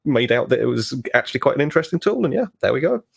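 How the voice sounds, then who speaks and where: A man's voice: medium pitch, very animated, rapid-fire, gravelly, husky. A man talking casually as a podcast player, bouncing ideas around in conversation. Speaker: tabletop role-play podcast player. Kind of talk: casual brainstorming chat.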